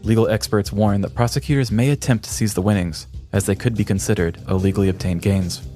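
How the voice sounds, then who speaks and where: A man with a fairly low voice narrates a scripted story for a podcast. His delivery is measured and dramatic, and music plays faintly behind him. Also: fairly even intonation, mellow. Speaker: podcast host. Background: background music.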